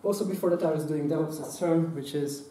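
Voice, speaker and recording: A man walks a conference audience through a hands-on workshop. His voice is somewhat high and mellow, and his delivery stops and restarts around fillers. He is heard from some distance in an echoey room. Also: slight background noise. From Hungary. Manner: halting, many fillers and restarts. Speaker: workshop presenter. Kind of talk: conference talk.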